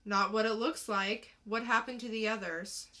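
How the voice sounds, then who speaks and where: Woman playing a wary teenage boy, bright high voice. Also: fairly even intonation, normal speed. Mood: wary, defiant. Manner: casual, guarded, slangy. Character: wary, defiant streetwise teenage boy under questioning.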